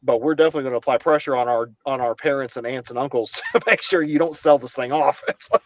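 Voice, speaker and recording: A man's voice: medium pitch, quick pace, lo-fi sound, a little hiss or hum. A young man from Kentucky talking earnestly as a podcast guest, often restarting his sentences as he rambles. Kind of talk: casual conversation.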